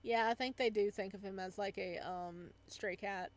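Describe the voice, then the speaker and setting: A woman's voice: mid-range voice, fairly even intonation, moderate pace. A woman doing a casual let's play, reading story text aloud with side comments and sometimes stumbling over words. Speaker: gamer reading a visual novel aloud.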